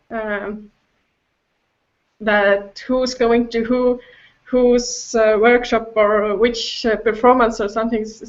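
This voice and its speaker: Slow, halting, higher-voiced woman. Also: highs cut off. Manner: halting, searching for words. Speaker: podcast guest, improviser. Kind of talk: casual chat.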